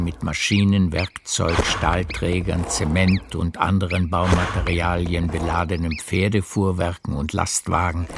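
A man narrating a literary radio play in a measured storytelling manner. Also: low voice, creaky.

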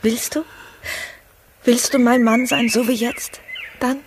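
A woman's voice: fairly high pitch, fairly even intonation, takes it slowly. Longing, secretly passionate married lady, noisy recording. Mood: tender. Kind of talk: German radio drama acting. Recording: clearly noisy sound with clicks.

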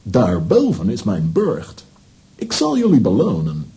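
A man's voice: on the low side, wildly swooping pitch, a little slow, mellow, heavily acted. A man playing a courteous, stately wizard lord in a children's audio drama. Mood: welcoming. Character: grateful castle-owning wizard of long ago.

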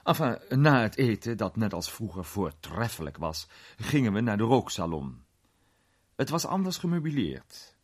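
A man playing a worldly film actor in a radio drama, recounting an unsettling memory in a conversational, sometimes hesitant, theatrical way with a husky voice. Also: lowish voice, very animated, moderate pace. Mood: uneasy, confiding. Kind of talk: radio drama storytelling in character.

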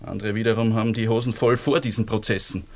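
A man's voice: fairly low pitch, steady tone, fast, darkish tone, a little hiss or hum, close to an everyday voice. An actor playing a weary, wry man in love after the war in a radio play, with an Austrian accent. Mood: frustrated, confiding.

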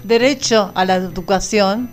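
A woman's voice: mid-range voice, normal speed. A woman presenting and reading on an amateur radio programme, with music behind her.

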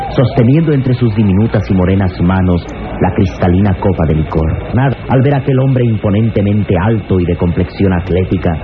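Deep dramatic male radio narrator. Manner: solemn, dramatic, florid. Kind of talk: 1960s radio serial narration. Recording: muffled old radio recording, music behind.

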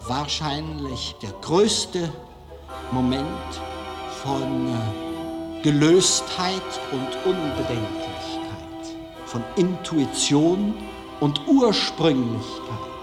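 A man speaking in a reflective personal monologue, deliberate and emphatic, with long pauses. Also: a little high, expressive, loud music behind the voice. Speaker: film director.

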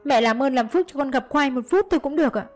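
A woman's voice: high-pitched, expressive, fast talker, voice pushed up. A woman voicing a desperate young mother, tearful and imploring, in a dramatic audio story with music behind. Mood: desperate pleading.

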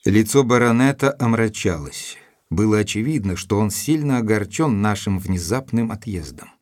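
Casting: male actor as loyal gentleman storyteller for detective drama; measured, slightly low voice, close dry studio sound. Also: animated, no echo, lightly acted. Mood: reflective. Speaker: narrator. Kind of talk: audiobook drama narration.

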